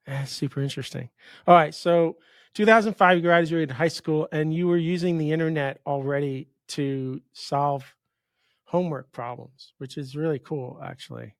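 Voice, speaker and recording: A man's voice: a little high, normal speed, gravelly, no echo, clean studio sound. A man in his fifties from New York hosting a casual podcast interview, telling rambling stories and interrupting himself. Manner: rambling, storytelling, self-interrupting. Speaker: podcast host.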